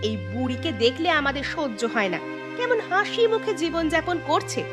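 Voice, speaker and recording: A woman plays a short-tempered, scornful village wife in a cartoon. Her voice is high and insistent, always scolding. Music plays clearly underneath. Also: very animated, moderate pace. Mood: angry, demanding. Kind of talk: Bengali cartoon dubbing.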